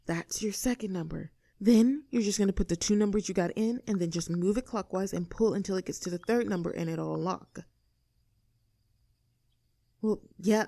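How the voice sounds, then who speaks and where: A woman playing a sarcastic, standoffish young mean girl with a soft side in an audio roleplay, talking in a casual, teasing, blunt way. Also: fairly low pitch, vocal fry, dry recording, studio quality. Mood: matter-of-fact, instructing. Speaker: voice actor. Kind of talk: scripted audio roleplay, naturalistic one-sided dialogue.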